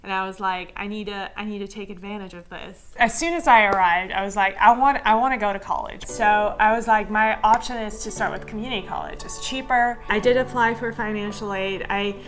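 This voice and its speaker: A woman's voice: mid-range voice, steady tone, brisk, few pauses, faint music behind. Chatty young woman from Iran. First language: Armenian. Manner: chatty, with frequent "like" fillers and restarts. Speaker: interviewee, structural engineer. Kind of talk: casual interview storytelling.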